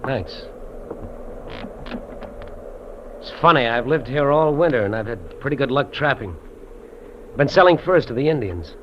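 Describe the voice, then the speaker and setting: An actor playing a lonely, lovestruck fugitive trapper, earnest and confiding, in 1950s radio drama style. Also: male voice, medium pitch, lively intonation, normal speed, close to an everyday voice. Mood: resigned. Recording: dull, noisy old radio recording.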